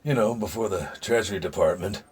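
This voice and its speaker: An actor playing a dying retired Secret Service agent in an audio drama, older, gruff and folksy, weary and confiding, with dry humor. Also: male voice, lowish voice, a little fast, darkish tone. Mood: weak, welcoming.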